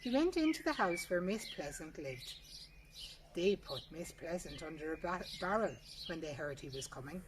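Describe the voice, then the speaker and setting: A woman's voice: on the low side, expressive, normal speed, far from the mic. A woman with an Irish accent narrating a traditional folk tale in a plain-spoken, colloquial way. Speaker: storyteller reading a folk tale. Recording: noisy recording with birdsong behind. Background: birdsong, ambient noise.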